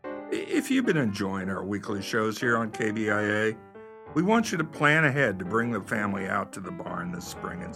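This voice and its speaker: Lowish-voiced man reading a promo. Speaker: community theatre president giving a promo. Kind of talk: scripted radio promo. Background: guitar and piano music.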